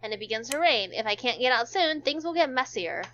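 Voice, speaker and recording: Woman, high voice, amateur visual novel narration, occasional stumbles, dull lo-fi recording. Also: lively intonation, fairly quick, a bit bright, slight background noise. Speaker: narrator in a group read-along.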